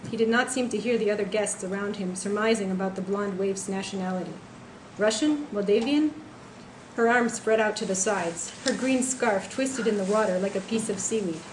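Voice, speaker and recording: Woman, novelist reading prose aloud, mid-pitched voice, echoing venue, slight noise. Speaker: author reading own novel. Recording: echoey room, faint background noise.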